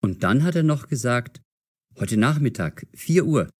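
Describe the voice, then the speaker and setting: An actor playing a cautious, curious young man in an audio drama for language learners, measured and clearly enunciated. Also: mid-range voice, expressive. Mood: thoughtful.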